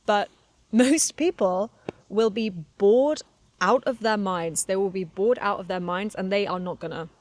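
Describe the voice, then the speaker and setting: A woman's voice: mid-range voice, unhurried, darkish tone, no room sound. A woman in her twenties from Cornwall with a Southern English accent, a touch of London, chatting candidly as an English teacher on a podcast for learners, with frequent laughs and fillers. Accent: Southern English, slightly London. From Cornwall, England. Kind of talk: relaxed solo podcast monologue for learners.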